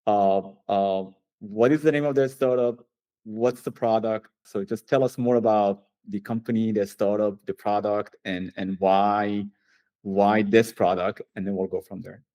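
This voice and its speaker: A man's voice: lowish voice, steady tone, measured pace, dark tone. A man hosting an interview, English not his first language, asking curious questions with stammering restarts. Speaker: podcast host.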